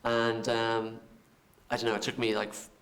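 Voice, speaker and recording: An Irish film director answering interview questions, halting and repeating words as he thinks. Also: medium pitch, monotone, fairly bright tone. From Ireland.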